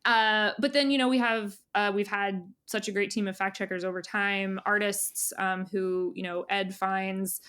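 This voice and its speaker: Woman editor on a podcast, crisp bright voice, earnest and rambly, keeps restarting her sentences. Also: mid-range voice, fairly even intonation, normal speed, few pauses. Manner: rambling, earnest, many hedges and self-corrections. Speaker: magazine editor-in-chief, interview guest. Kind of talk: casual conversational interview.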